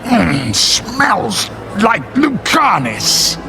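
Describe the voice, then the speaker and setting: An actor playing an eerie, hungry demon in a fantasy video game, with drawn-out creaky speech. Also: male voice, sits high, wildly swooping pitch, exaggerated voice. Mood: eerie curiosity. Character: eerie, hungry male demon possessing a man. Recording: loud music behind the voice.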